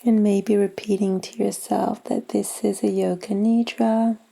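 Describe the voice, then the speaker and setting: A woman guides a meditation with slow, soothing instructions. Her voice is mellow and relaxed, sitting at a medium pitch with heavy vocal fry. She speaks softly and leaves generous pauses between short phrases. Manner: soothing, gentle, unhurried instruction with long pauses. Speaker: yoga nidra guide.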